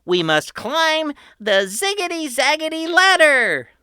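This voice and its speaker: A woman voicing an excited young boy who shows off his inventions, calling out like a showman. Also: slow, no room sound, studio quality, exaggerated voice. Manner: shouty and showman-like, booming announcements.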